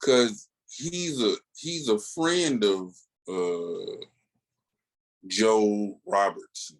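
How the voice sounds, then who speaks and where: A man with an American accent chatting casually as a podcast co-host, telling things in a slangy, animated, halting way. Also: lowish voice. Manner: slangy, animated storytelling with many filler pauses. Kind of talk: casual chat.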